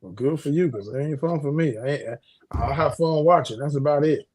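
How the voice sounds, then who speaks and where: An American man with a raspy, slightly high voice co-hosts a sports chat. He is slangy and emphatic, circling back to the same phrase to drive it home. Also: a little fast, darkish tone. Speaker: podcast co-host.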